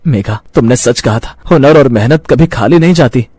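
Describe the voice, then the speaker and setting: A man's voice: mid-range voice, moderate pace, darkish tone, breathy edge, no echo, studio quality, close to an everyday voice. A man playing a polite, earnest village husband who sells street food in a cartoon moral story.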